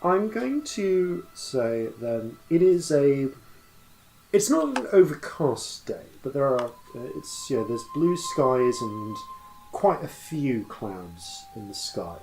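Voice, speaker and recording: A man narrating a tabletop game as game master, improvising in a halting way as he thinks aloud. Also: mid-range voice, big pitch swings, vocal fry, darkish tone, slight background noise, poor audio.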